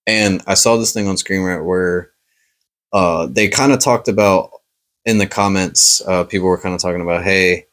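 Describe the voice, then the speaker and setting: A man's voice: low voice, normal speed, a bit bright. A man chatting casually about superhero movies, rambling with lots of fillers. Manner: rambling, hesitant with many fillers. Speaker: podcast host.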